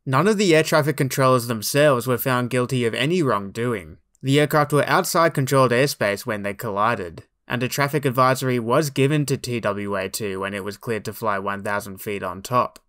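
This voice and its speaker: A man narrates a documentary from a script in a mid-pitched voice. His delivery is measured and formal, explaining things plainly, recorded close and dry. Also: a bit bright, no room sound.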